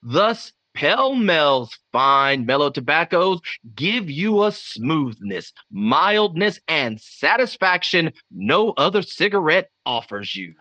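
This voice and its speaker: Bright, high-pitched male radio announcer. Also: sing-song, takes it slowly, no room sound. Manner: polished, emphatic announcing. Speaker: commercial announcer. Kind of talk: 1950s radio ad copy. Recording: dull lo-fi sound, dry.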